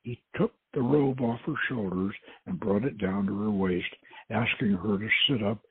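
A man with a husky, rough voice gives a halting dramatic reading of a story as its narrator. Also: lowish voice. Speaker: host reading his own novel aloud. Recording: muffled phone-like line, roomy echo.

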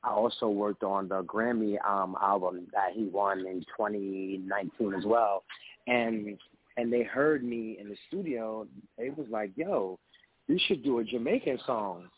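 A man's voice: on the low side, unhurried, breathy edge, no echo. Earnest man on a tinny line. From the Bronx, New York. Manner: earnest, relaxed, frequent "you know what I'm saying". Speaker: R&B singer guest. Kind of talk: casual interview chat. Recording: tinny phone-like line, faint noise.

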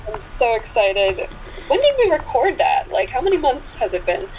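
A woman being interviewed as a guest, chatting casually in an excitable, informal way. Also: on the high side, normal speed. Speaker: interview guest, actress and writer. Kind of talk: casual chat. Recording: tinny phone line with faint noise.

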